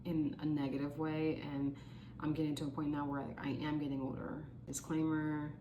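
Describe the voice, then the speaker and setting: A woman's voice: low-pitched, level tone, moderate pace, muffled recording, background noise. A woman in her late twenties giving casual motivational advice to camera, earnest and chatty, with lots of "like" and "you know". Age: 20s. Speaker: vlogger.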